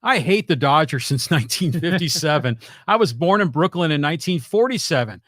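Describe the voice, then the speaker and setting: A man's voice: on the high side, expressive, a little fast, a bit bright, no room sound, studio quality. An American man chatting casually about sports as a co-host, conversational and questioning, reading out listener questions.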